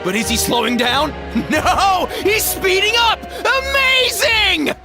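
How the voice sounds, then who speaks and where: A woman voicing an excitable, dramatic tournament commentator in a video game, with music behind. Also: extremely high pitch, slow, heavily acted. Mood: excited. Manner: breathless excitement, dramatic questions.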